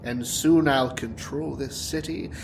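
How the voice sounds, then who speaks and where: A man's voice: medium pitch, steady tone, measured pace, gravelly, rough recording. Guy acting a menacing audio drama bad guy, taunting n theatrical, drags words out, bit of noise behind. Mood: gloating menace. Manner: theatrical, menacing, punctuated by mocking laughs. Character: gloating, taunting male supervillain crime lord, sadistic and cocky.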